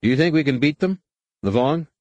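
Man acting high-pitched, lilting turncoat space co-pilot. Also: lively intonation, brisk, darkish tone, dry recording, lo-fi sound, natural voice. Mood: uneasy, defeatist. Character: nervous defeatist older male co-pilot turned apologetic traitor. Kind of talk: audiobook character voice in a space adventure.

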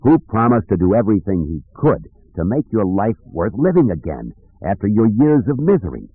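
A man's voice: on the low side, very animated, normal speed, breathy edge, no room sound, narrow, tinny audio. A man voicing an urgent, loyal starship pilot with an emphatic, pleading manner in an audiobook.

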